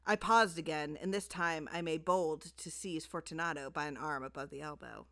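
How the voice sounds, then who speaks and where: A woman's voice: sits low, normal speed, bright, forceful tone. A woman reading a gothic short story aloud as dramatic scripted narration. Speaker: story reader.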